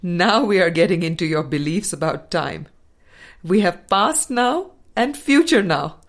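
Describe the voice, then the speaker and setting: A woman reading a spiritual book aloud as scripted narration, in a deliberate, measured manner with pauses between phrases. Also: fairly low pitch, animated. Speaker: audiobook reader.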